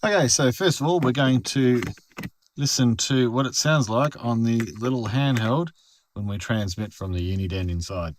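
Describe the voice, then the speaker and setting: A man's voice: mid-range voice, moderate pace, a little hiss or hum. An Australian man giving a casual, conversational product review and explaining things as he goes. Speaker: host of a product review channel.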